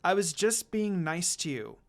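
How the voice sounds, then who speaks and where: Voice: medium pitch, very animated, a little slow. A reader voicing a firm, outspoken young woman who is kind but easily exasperated.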